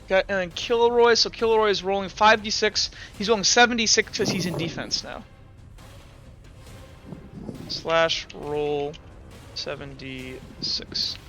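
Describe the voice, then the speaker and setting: A man's voice: high voice, unhurried, long pauses, sharp tone, faint music behind. A man running a tabletop game as game master, talking through the rules and dice aloud and often correcting himself. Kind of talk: narrating and refereeing a tabletop game.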